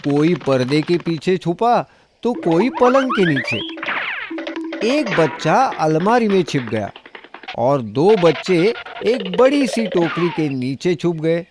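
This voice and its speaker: A man narrating a scripted children's story in an expressive, dramatic storytelling manner. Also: fairly high pitch, slow, over music.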